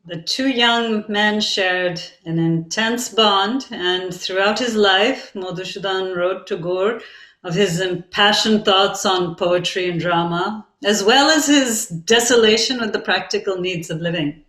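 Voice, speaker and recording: A woman playing a reflective modern Bengali narrator, telling a poet's life in measured, expressive scripted narration. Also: mid-range voice, natural voice. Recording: echoey, roomy sound.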